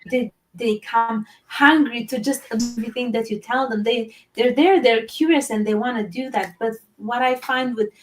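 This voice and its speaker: A woman's voice: a little high, moderate pace, hardly any pauses, faint music behind. Earnest woman from Romania, distant mic. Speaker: guest, teacher and business owner. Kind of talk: live-stream interview.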